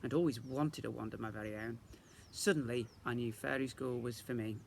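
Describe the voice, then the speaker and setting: A man reading a children's story aloud in the expressive style of a teacher reading to young children. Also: medium pitch, brisk, vocal fry, slight background noise.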